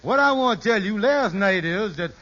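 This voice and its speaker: A man's voice: sits high, lively intonation, moderate pace, sharp tone. An actor playing a fretful, blustery schemer in an old radio sitcom, stammering as he plots in folksy grammar. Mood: anxious scheming. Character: scheming, fretful male lodge bigshot in trouble. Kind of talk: 1950s radio sitcom acting. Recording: muffled, noisy old radio recording.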